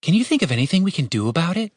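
A woman's voice: fairly low pitch, sing-song, racing, darkish tone, breathy edge, no echo, clean studio sound, pitched above the performer's own voice. A woman playing a defensive, exasperated young man in a fantasy audiobook, talking casually. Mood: worried. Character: testy, defensive young man, reluctant adventurer.

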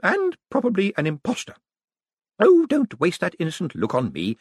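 A man voicing a feisty, blunt old woman who is gleeful and mildly cruel, with a high, bossy delivery. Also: wildly swooping pitch, normal speed, breathy edge, no room sound, clean studio sound, full character voice. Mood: triumphant, snappish.

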